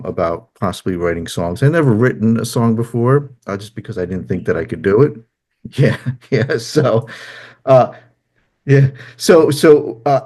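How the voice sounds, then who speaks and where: Low-voiced New Jersey man, casual and rambling. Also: a little mellow. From New Jersey, United States. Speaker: musician and songwriter, interview guest. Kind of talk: casual interview conversation.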